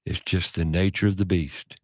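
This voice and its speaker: A male radio host with a fairly low voice and a loud, passionate way of talking. He preaches his points with emphatic punches, recorded dry and close in a studio. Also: steady tone, moderate pace, dry recording. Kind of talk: casual radio talk.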